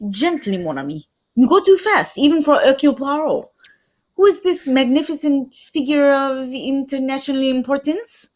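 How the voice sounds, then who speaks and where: A woman's voice: sits high, lively intonation, moderate pace. A woman playing a composed, self-assured male detective, speaking precisely and theatrically in an old-time radio mystery. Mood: soothing, grand.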